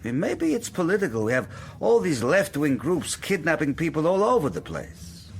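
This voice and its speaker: A man's voice: fairly high pitch, huge pitch swings, moderate pace. An actor playing a hospitable, worldly man in a radio drama, talking in a knowing, speculative way.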